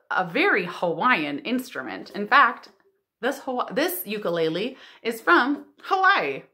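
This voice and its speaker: A woman in her thirties hosting a storytime for young children, reading aloud and chatting in an expressive, exclamatory way. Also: medium pitch. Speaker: children's librarian and storytime host. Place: living room at home.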